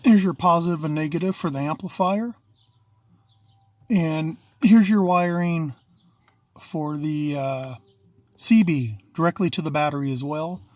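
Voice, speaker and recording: A middle-aged man with a fairly high voice and a dry, close sound. He talks casually and matter-of-factly, like a plain-spoken hobbyist walking viewers through his own handiwork on camera. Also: a little slow, long pauses, darkish tone, dry recording. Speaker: DIY installer presenting own work. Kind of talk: casual video walkthrough narration. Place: in and around a parked vehicle.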